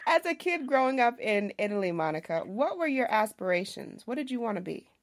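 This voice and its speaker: A woman podcast host with a fairly high voice. She speaks in an upbeat interview style, introducing the show and putting questions to a guest. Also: very animated, fairly quick.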